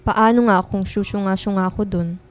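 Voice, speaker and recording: A woman's voice: mid-range voice, fairly even intonation, normal speed, faint music behind, lightly acted. A woman playing a sulky, feisty college-age girl bickering with a best friend in a radio drama. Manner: pouty, bickering, mock-threatening. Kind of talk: radio drama acting in casual banter.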